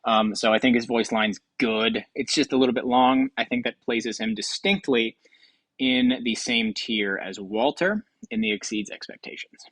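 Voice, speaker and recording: A man's voice: mid-range voice, normal speed, a bit bright, dry recording. A man hosting a game show with casual, improvised commentary in a rambling, offhand way.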